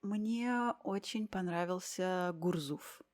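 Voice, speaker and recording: A woman from Russia speaks as a guest in a slow, clear conversation for language learners. Her voice sits a little low, and she takes her time, with very slow pacing and gentle pauses full of soft fillers. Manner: unhurried, with many thoughtful pauses and soft fillers.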